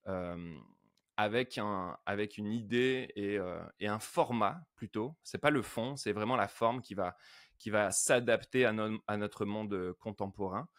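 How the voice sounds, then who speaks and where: A man's voice: lowish voice, measured pace, no echo, studio quality. A middle-aged man from northern France, chatting casually as an interview guest, often feeling his way through phrases. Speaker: podcast guest, worship pastor.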